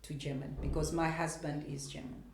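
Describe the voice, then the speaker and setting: A middle-aged woman from Zambia, a mother and teacher, telling her story as a guest in a relaxed interview. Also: low voice, a little fast, a loud crowd. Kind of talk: casual interview talk. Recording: echoey room.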